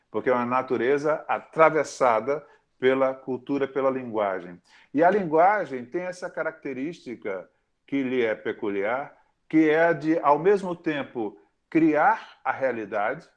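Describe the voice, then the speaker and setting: A man lectures in a measured, thoughtful way. He breaks off often to pause and rephrase. His voice sits at an ordinary middle pitch. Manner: thoughtful, with frequent pauses and self-corrections. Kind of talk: academic lecture.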